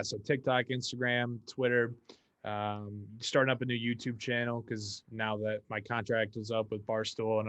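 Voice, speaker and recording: A man's voice: medium pitch, fairly bright tone, no echo, lo-fi sound. A young man chatting casually as a podcast guest, easygoing and rambling with many restarts. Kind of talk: casual interview chat.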